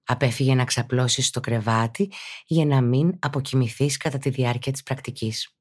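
A woman speaking as a yoga teacher and podcast host, guiding a meditation in a calm, instructive, deliberate way. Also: rumbling low. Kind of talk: guided meditation narration.

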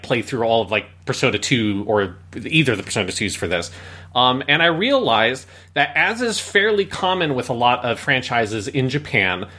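Bright-voiced man explaining casually, rambling. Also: medium pitch. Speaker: podcast co-host. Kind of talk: casual explanatory podcast talk.

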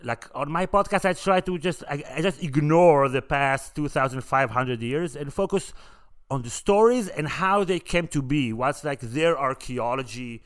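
A man's voice: a little high, animated, normal speed. A man from Israel, a native Hebrew speaker, hosting a casual podcast conversation with an enthusiastic, emphatic manner. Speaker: podcast host.

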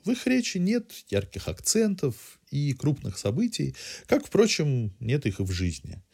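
A man's voice: a little high, huge pitch swings, a little slow, gravelly, darkish tone, slightly rough. A man hosting a podcast, delivering a semi-scripted cultural review in erudite, literary phrasing.

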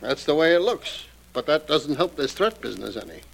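Fretful theater manager, slangy, high-pitched male voice, vintage radio play. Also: very animated, fairly quick, creaky, heavily acted, rough recording. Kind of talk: old-time radio drama acting.

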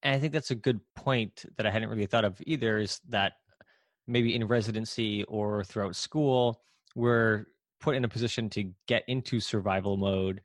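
Measured male host, low voice. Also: steady tone, dry recording, clean studio sound. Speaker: interview host working in healthcare. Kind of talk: conversational interview questions.

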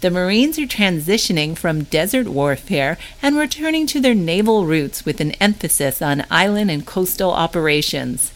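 A woman's voice: lowish voice, expressive, moderate pace, few pauses. A woman reading a scripted news update aloud as the narrator of a newsletter. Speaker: newsletter reader.

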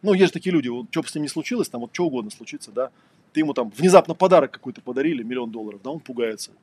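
Husky, fast-talking man lecturing. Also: medium pitch, expressive, a little mellow, no room sound. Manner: conversational, filler-heavy, rhetorical questions. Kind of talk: casual lecture.

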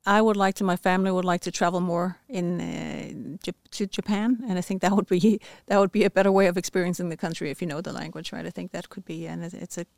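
A woman guest on a company podcast, speaking casually and thinking aloud, often restarting her sentences. Her voice has a gravelly fry to it. The sound is close and dry, with no room echo. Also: fairly low pitch, flat delivery, quick pace, few pauses, a little mellow. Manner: thoughtful, with frequent restarts. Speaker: guest, company department head.

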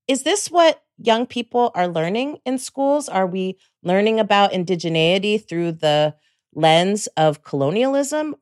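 A woman from the Bay Area hosts a conversation in a reflective, chatty manner, often tagging her thoughts with a questioning "right?". Her voice sits at a middling pitch and sounds dry and close, with little room around it. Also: no echo. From San Francisco Bay Area. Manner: reflective, laughs often, frequent "right?" asides. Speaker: host. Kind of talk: conversational interview.

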